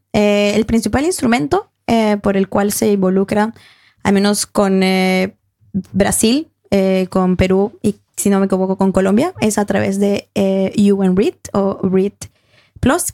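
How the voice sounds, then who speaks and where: A young woman with a slightly gravelly, fry-tinged voice. She explains ideas thoughtfully, pausing on fillers and correcting herself as she goes. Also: medium pitch, a little mellow. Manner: thoughtful, hesitant, many fillers and self-corrections. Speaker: panel guest. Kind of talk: academic discussion.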